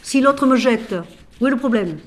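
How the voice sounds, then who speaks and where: A woman as a Franciscan sister with a cold, higher-pitched voice, expressive speaker, asks rhetorical questions, author talk. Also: moderate pace. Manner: expressive, shifting from loud emphasis to quiet asides. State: has a cold. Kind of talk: animated talk about her book.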